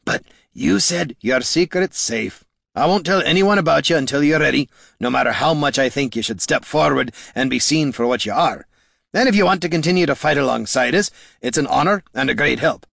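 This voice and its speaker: A man voicing a blunt, stubborn, warm-hearted veteran fantasy hero with emphatic, rustic dialect speech. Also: fairly high pitch, animated, brisk, vocal fry, dry recording, studio quality, voice pushed up, heavily acted. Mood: firm, earnest.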